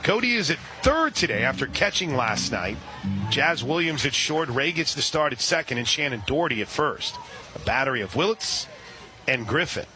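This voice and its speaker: A man calling a softball game live on radio, chatty and excitable, slipping quick asides between pitches. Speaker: radio play-by-play announcer. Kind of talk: live radio sports commentary. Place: outdoor ballpark press area.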